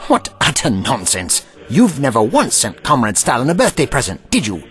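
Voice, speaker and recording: A man's voice: medium pitch, huge pitch swings, moderate pace, fairly bright tone. A man playing an eccentric time-travelling adventurer in an audio drama, pompous, theatrical and sardonic. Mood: wry defiance.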